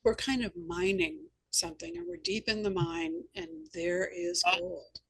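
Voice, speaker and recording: A woman's voice: fairly low pitch, steady tone, unhurried, husky. A woman hosting an interview, telling anecdotes in an earnest, emphatic conversational way. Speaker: host and novelist.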